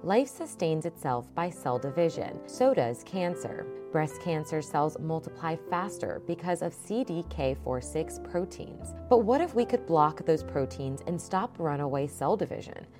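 A woman's voice: sits low, lively intonation, a little slow, few pauses. A woman reading scripted sponsor narration as an announcer. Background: music.

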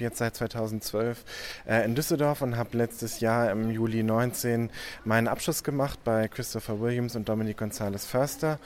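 A young man from Hamburg, an artist, answering interview questions hesitantly with many filler sounds. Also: medium pitch. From Hamburg, Germany.

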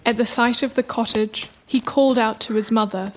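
A woman narrating a scripted folktale as a measured storyteller. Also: on the high side, fairly even intonation. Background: music.

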